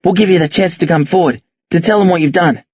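A man's voice: high-pitched, fast, breathy edge, no room sound, muffled recording. A man voicing an angry, defiant teenage Australian boy in an audiobook, blunt, slangy and confrontational. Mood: impatient, pressing. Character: angry accusing teenage boy, rough, foul-mouthed, defiant.

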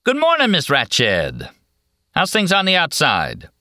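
A man's voice: medium pitch, very animated, moderate pace, sharp tone, dry recording, studio quality, pitched above the performer's own voice. A man voicing a brash, teasing male patient with a mock-innocent manner in an audiobook. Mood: cheeky. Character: brash, mocking redheaded male psychiatric patient.

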